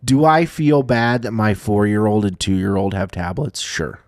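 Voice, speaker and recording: A man in his thirties, co-hosting a podcast, chatting casually and reminiscing in an animated way. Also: lowish voice, a little slow, no room sound, clean studio sound. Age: 30s. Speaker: podcast co-host. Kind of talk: casual chat.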